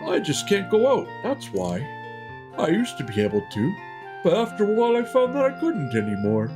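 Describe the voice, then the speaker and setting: Guy doing big friendly sweets-loving game villager, simple lilting talk, pitch all over, music behind. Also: full character voice.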